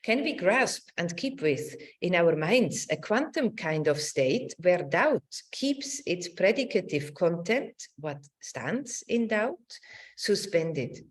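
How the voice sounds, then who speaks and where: A woman's voice: on the low side, big pitch swings. A middle-aged woman giving an academic lecture, thoughtful in phrasing and partly reading from notes. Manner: halting, searching delivery with repeated words and tag "no?".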